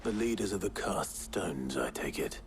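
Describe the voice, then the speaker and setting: An actor playing a stern, terse warrior hero in a video game. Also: male voice, low-pitched, animated, moderate pace, background noise. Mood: grim.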